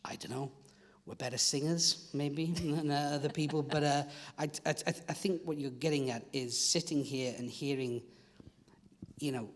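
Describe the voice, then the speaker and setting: A man on a conference panel, a film producer who talks in a loose, halting way and often repeats words. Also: fairly high pitch, fairly even intonation. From Wales. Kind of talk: panel discussion. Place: conference hall. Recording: hall reverb.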